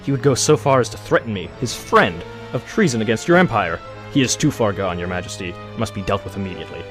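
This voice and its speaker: Scheming royal guard stallion played by a man, animated and theatrical, feigned loyalty, insistent, music underneath. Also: on the low side, quick pace. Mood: earnest, wheedling. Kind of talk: dramatic audio drama acting.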